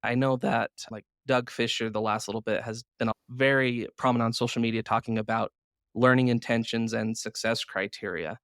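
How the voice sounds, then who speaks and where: A man with a medium-pitched voice hosts a podcast interview, recorded dry with almost no room echo. He talks conversationally, affirming points and reasoning aloud. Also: fairly even intonation, brisk, fairly bright tone, clean tone. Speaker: podcast host.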